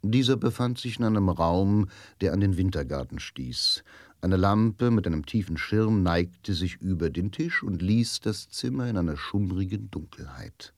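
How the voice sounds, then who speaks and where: A man narrating a radio drama in a measured, literary and dramatic manner. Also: low-pitched, expressive, darkish tone, no room sound, studio quality. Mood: grave, suspenseful. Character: grave literary narrator of a crime story. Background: music.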